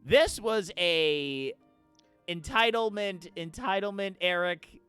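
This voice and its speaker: Laughing man with a higher voice. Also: expressive, slow pace, pushed and bright, clear, resonant tone, no room sound, faint music behind. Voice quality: higher voice than most men. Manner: laughing storyteller who acts out quoted voices. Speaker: streamer. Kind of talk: casual chat while livestreaming a game.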